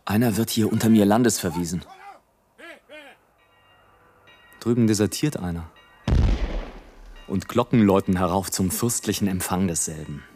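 An actor playing a rapturous, scornful airship balloonist in a theatrical, declamatory radio play monologue, swinging between grand exultation and hush. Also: male voice, low voice, a little fast, lightly acted. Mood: brisk, sardonic. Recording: studio radio play with music.

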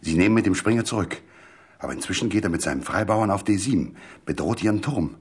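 A man voicing an intense middle-aged gentleman, a chess expert who speaks hastily and precisely in a literary radio reading. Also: low-pitched, lively intonation, fast talker, husky. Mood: urgent, precise. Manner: hasty, precise, urgent.

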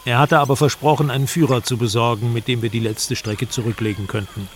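A man's voice: medium pitch, steady tone, fast talker, noisy background. A man reading scripted first-person travel narration for a radio drama.

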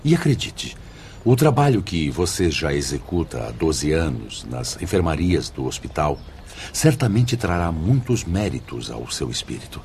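A man's voice: very deep voice, very animated, normal speed, darkish tone, a little hiss or hum, full character voice. A man playing a wise, fatherly spirit mentor in a radio drama, solemn and declamatory. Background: background music.

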